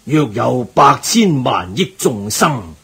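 An actor playing a devout, learned Buddhist monk in a radio drama, measured and earnest. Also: male voice, medium pitch, very animated, gravelly, a bit bright, breathy edge, exaggerated voice. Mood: solemn recitation. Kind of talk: Cantonese radio drama acting.

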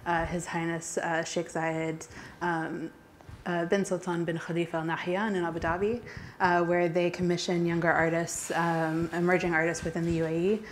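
A woman curator opening a panel talk in a casual, halting way with many fillers. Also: on the low side, level tone, a little hiss or hum. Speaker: curator and moderator. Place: gallery talk space, indoors. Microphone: handheld mic.

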